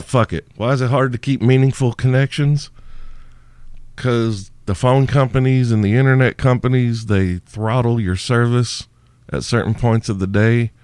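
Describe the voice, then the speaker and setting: A man's voice: medium pitch, a little mellow, clean tone, no echo. A male podcast host answering listener questions in a crude, irreverent, rambling way, sounding sleepy and tired.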